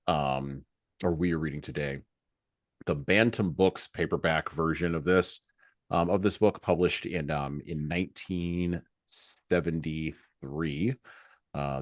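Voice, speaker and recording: Really deep american guy hosting a book podcast, emphatic, repeats himself for effect, super dry sound. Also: no echo. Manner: emphatic, repeats phrases for effect, poses rhetorical questions. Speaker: podcast host. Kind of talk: conversational book discussion and commentary.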